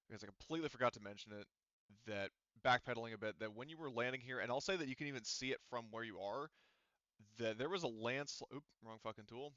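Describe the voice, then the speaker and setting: A man acting as game master, narrating a tabletop game in a casual, halting way and relaying what characters say. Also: medium pitch, sharp tone, clean tone, no echo, lo-fi sound. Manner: hesitant, casual, relaying character speech indirectly.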